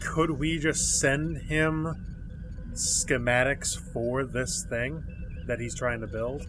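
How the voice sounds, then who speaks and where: A man's voice: mid-range voice, steady tone, takes it slowly, noisy background. A man casually chatting and making suggestions as a player in a tabletop role-playing game.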